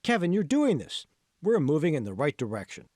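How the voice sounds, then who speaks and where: Man voicing an emphatic, encouraging fitness mentor, lively pitch. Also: a little fast, no echo, clean studio sound. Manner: emphatic, instructive, coaxing. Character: John Hall, patient, encouraging male fitness mentor.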